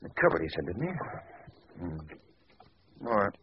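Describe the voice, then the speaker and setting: An actor playing a bold gentleman adventurer in an old radio drama, talking in a brisk, demanding way. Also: low voice, very animated. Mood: puzzled. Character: composed gentleman adventurer, man, adult, bold. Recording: dull old radio recording with hiss.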